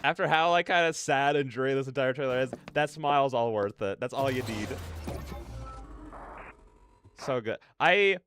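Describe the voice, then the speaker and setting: A man's voice: medium pitch, fairly quick, a bit bright, slight background noise. A man hosting a reaction video, giving casual, rambling commentary as he thinks aloud. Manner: rambling, peppered with "like" and "you know".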